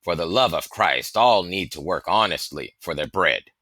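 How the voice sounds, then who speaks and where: A man voicing a big, hearty, commanding fellow, gruff but fond, with blustering theatrical delivery in a storybook reading. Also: sits low, expressive, moderate pace, bright, forceful tone, no room sound, studio quality, heavily acted. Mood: pious, hearty. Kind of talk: storybook character voice in audiobook reading.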